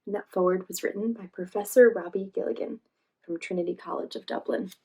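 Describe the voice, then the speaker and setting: A woman hosting a children's read-aloud, reading expressively and pausing to ask encouraging questions. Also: medium pitch, steady tone, creaky, darkish tone, slightly rough, poor audio. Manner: encouraging, asks questions and pauses for answers. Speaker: read-aloud storytime host, teacher.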